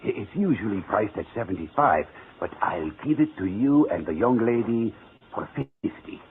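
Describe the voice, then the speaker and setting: An actor playing a kindly, apologetic old shopkeeper with a German accent in a 1940s radio drama. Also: male voice, fairly low pitch, big pitch swings, moderate pace. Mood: friendly, eager. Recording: scratchy, narrow-band old radio recording.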